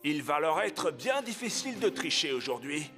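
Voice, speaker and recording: A man's voice: fairly high pitch, big pitch swings, moderate pace, sharp tone, soft background music. An actor playing an earnest, confident young male football hero in a cartoon.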